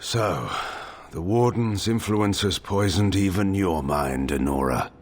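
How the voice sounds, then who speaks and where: Somber older fantasy warlord dad, deep low voice. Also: male voice, unhurried. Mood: sorrowful. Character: grave aging warlord father, somber. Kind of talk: dramatic fantasy game acting.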